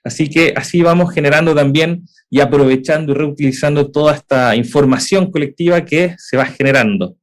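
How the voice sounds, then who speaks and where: A man's voice: on the high side, a little fast, few pauses. A young man with a Chilean accent presenting in a webinar, explaining at length with frequent "eh" fillers and rhetorical questions. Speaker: edtech founder presenting.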